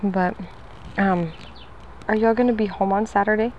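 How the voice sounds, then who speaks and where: A woman's voice: mid-range voice, fairly even intonation, moderate pace, dull audio, slight background noise. A woman going door to door selling pest control, talking in a friendly, upbeat and talkative way at a front door outside. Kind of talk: sales pitch at a front door. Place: outdoors at a house door.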